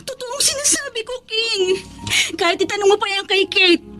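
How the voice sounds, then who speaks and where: A high female voice as a spoiled, scheming rich schoolgirl in a radio drama. She is clingy, insistent and melodramatic, forever pleading her case. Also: moderate pace, over music. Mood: hurt, pleading. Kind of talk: Filipino radio drama acting.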